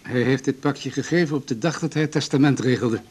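A man's voice: medium pitch, fairly quick, darkish tone, slightly rough, lightly acted. An actor as a courteous, formal male notary. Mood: formal, patient. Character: polite, formal male notary, patient and businesslike. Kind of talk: naturalistic 1980s radio drama acting.

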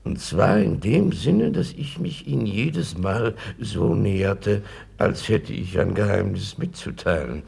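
A man's voice: lowish voice, lively intonation, a little slow, vocal fry, darkish tone, husky, full character voice. An actor playing an obsequious, scheming male landlord in a 1950s radio play, gushing and conspiratorial.

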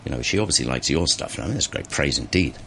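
Deep-voiced, animated male radio host. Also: quick pace, a little hiss or hum. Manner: chatty, animated questioner. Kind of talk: radio interview.

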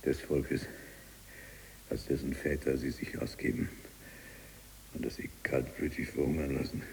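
An actor playing an embittered imprisoned libertine writer in a radio drama monologue, gravelly and breathy at times, brooding and deliberate in delivery. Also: male voice, rumbling low, flat delivery, darkish tone, slight background noise, exaggerated voice, low-quality recording. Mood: bitter, confiding.

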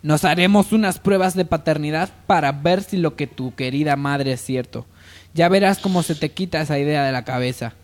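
A man playing a self-assured, dismissive wealthy heir and boss in an amateur radio soap opera, brisk and commanding. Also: on the high side, natural voice.

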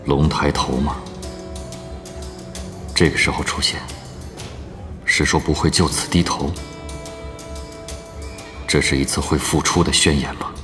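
A man's voice: very deep voice, steady tone, slow pace, long pauses, fairly bright tone, heavily acted. A man playing a stern, scornful veteran gamer in an audio drama, with a weighty, menacing delivery. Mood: brooding. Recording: long echoing reverb, music behind.

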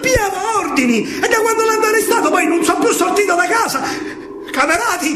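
A man with a Roman accent playing a terrified cornered fascist, stammering and pleading loudly for his life. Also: very high voice, animated, fairly quick, reverberant, over loud music, pitched above the performer's own voice. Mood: desperate crying.

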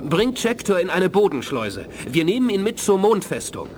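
An actor playing a ruthless reptilian alien warlord in a radio play, barking orders imperiously. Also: male voice, sits high, big pitch swings, normal speed, darkish tone, slight background noise. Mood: cold, scornful. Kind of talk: 1980s German radio play acting.

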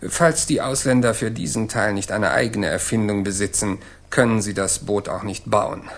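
Man acting worried office official, lowish voice, stiff and defensive, old german radio play. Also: moderate pace, natural voice. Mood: guarded. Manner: guarded, earnest, formal.

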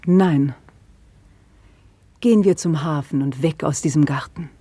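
An actress playing a young widow who speaks slowly and often in a whisper, in a radio play. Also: female voice, deep voice, husky, dry recording. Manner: slow, hushed, often whispering. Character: Ines, young widow, estranged, bitter. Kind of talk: radio drama acting.

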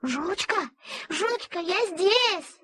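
Anxious little boy in an audio play, super high voice, slow plaintive drawn out calls. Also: expressive, bright, forceful tone, full character voice. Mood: urgent, reassuring. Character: anxious little boy calling his beloved dog.

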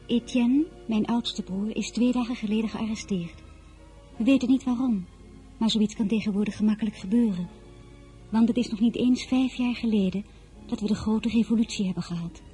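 A woman's voice: on the high side, moderate pace, dark tone, faint music behind, close to an everyday voice. A woman playing an earnest, curious fourteen-year-old girl in a radio play, naive and candid in manner. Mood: earnest, matter-of-fact. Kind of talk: radio play acting and diary narration.

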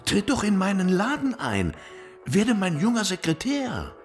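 Languid worldly patron of the arts, radio drama, highly animated pitch, rather high male voice, drawn-out delivery. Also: soft background music, full character voice. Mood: persuasive. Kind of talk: German radio play acting.

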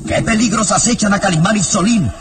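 A man's voice: high voice, fairly quick. A man narrating a radio drama in an ominous storytelling manner. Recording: radio drama with music bed.

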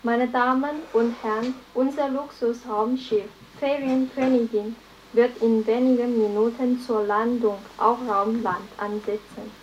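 Spaceship flight attendant, female, acted, formal and polite, fairly high voice, muffled announcement in a radio play. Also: fairly even intonation, a little slow, a little hiss or hum, natural voice. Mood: courteous, formal. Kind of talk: 1970s radio drama, cabin announcement.

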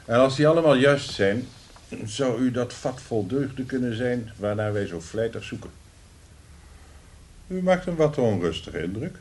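A man's voice: medium pitch, animated, normal speed, darkish tone, close to an everyday voice. An actor in a radio play as a blunt, sardonic male director, dry and commanding in a job interview. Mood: sardonic probing. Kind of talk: radio drama acting.

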